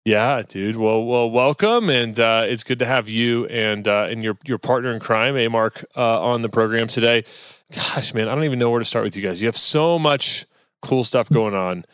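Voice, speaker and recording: A man's voice: medium pitch, moderate pace, a bit bright, smooth, dry recording, studio quality. A man hosting a podcast, chatting with guests in an upbeat, friendly, laid-back way. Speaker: podcast host. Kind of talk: casual interview chat.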